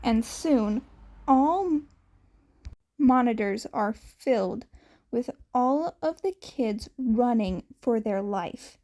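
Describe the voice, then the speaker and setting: A woman's voice: on the high side, animated, slow pace, dark tone. A woman narrating a scripted story as a podfic reader, occasionally stumbling over the script.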